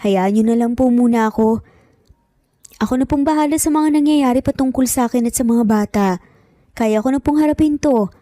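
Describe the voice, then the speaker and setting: A woman's voice: fairly high pitch, a little fast, mellow, no echo, clean studio sound, natural voice. A woman voicing a guarded, firm young single mother in an audio drama, with a Filipino accent. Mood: earnest, resolved.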